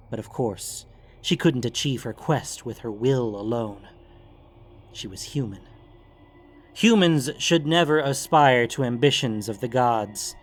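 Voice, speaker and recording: A man reads a dramatic fantasy tale as a solemn narrator. His pitch sits in the middle of the male range and his phrasing is deliberate. Music plays underneath his voice. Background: background music.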